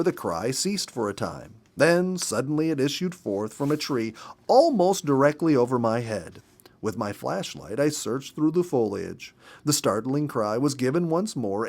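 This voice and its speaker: A man in his fifties reading a story aloud in an expressive, measured narrator's manner with dramatic emphasis. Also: medium pitch. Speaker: storybook reader. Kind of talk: expressive read-aloud narration of a nature memoir.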